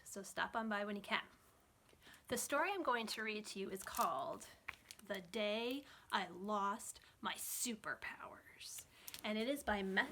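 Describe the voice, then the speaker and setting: A woman children's librarian reading a picture book aloud in an expressive, deliberate storytime manner for young listeners. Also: mid-range voice.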